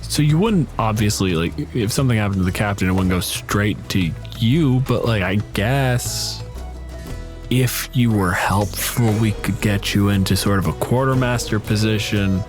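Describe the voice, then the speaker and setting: A man's voice: fairly low pitch, moderate pace, faint music behind. A man acting as game master, improvising casual narration of the scene for his players.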